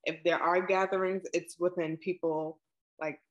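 A woman's voice: fairly low pitch, a little slow, dull audio. Young Brooklyn woman on a video call. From Brooklyn, New York. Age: 20s. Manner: relaxed, with frequent ums and likes. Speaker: interviewee. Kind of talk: casual oral history interview.

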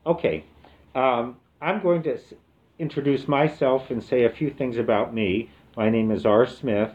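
A retired man in his seventies from the American Midwest, reminiscing slowly in an oral history interview with many pauses and fillers. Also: medium pitch, steady tone, a little mellow, muffled recording. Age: 70s. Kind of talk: relaxed reminiscing with reading aloud.

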